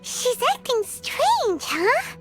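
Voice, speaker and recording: A woman's voice: extremely high pitch, wildly swooping pitch, extremely slow, over music, full character voice. A woman voicing a small, childlike fairy companion in an anime video game, bubbly, blunt and exclamatory. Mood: puzzled. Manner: talks of herself in third person, exclamatory.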